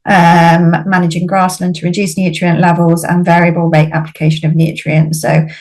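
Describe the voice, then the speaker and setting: Low-voiced woman, halting with ums. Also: little pitch movement, a little mellow. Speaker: webinar speaker. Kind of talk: presenting in a webinar. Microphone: video call.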